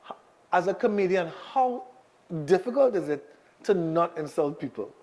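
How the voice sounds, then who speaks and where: A man's voice: sits high, expressive, moderate pace, a little mellow. A man hosting a talk show, chatting in an easygoing way with plenty of fillers. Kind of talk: casual interview chat.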